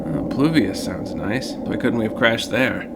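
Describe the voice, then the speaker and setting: A woman's voice: a bass voice, measured pace, very noisy. A woman playing a scatterbrained, easily bored young spacefarer, casual and exasperated, in a sci-fi comedy audio drama. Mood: flippant. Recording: loud music behind.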